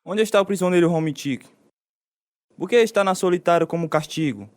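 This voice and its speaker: A man's voice: high voice, fairly quick, no echo, studio quality, natural voice. A man playing a stern, authoritative prison commander in a radio drama. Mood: angry, commanding. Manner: authoritative, blunt.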